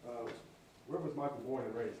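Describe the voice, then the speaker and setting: A man's voice: mid-range voice, moderate pace, dark tone. A man working as a prosecutor, questioning a witness formally in a courtroom. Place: large indoor courtroom. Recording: distant echoey courtroom, noisy background.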